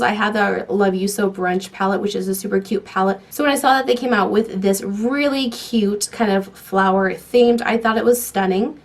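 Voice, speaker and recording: A young woman vlogger, talking through a makeup tutorial in a chatty, enthusiastic way, with her voice a little off from a lingering cold. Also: medium pitch. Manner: chatty, enthusiastic, talks while applying makeup. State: voice affected by a cold. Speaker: beauty vlogger. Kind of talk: casual makeup tutorial talk.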